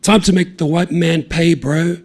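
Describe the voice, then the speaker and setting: A man voicing a cheeky, reckless young male road worker who taunts and yells. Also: on the high side, steady tone, measured pace, voice pushed up. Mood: taunting. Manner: taunting, brash, yelling.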